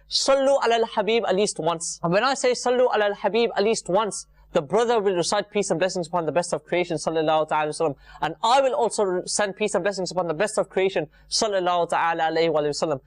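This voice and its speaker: A man's voice: sits high, dry recording. Man presenting an earnest religious talk. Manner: earnest, repetitive phrasing for emphasis. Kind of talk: informal religious talk to viewers.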